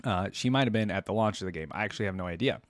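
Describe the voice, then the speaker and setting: A man's voice: lowish voice, rapid-fire, dry recording, studio quality, soft background music. A man giving casual reaction commentary as a video host, rambling as he thinks aloud. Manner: rambling, thinks aloud, repeats "like" and "you know".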